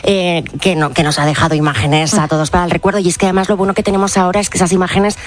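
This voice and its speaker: A woman's voice: on the low side, fairly even intonation, very fast. Woman guest commentator, chatty, loose, mid-range voice. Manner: chatty, with many restarts. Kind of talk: live radio panel chat.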